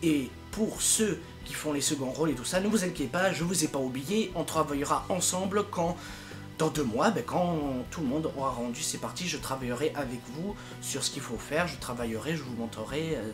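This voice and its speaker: A man giving an informal, unscripted video update to his followers, rambling and halting as he goes. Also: fairly high pitch, expressive, music in the background. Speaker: amateur fandub project leader and YouTuber.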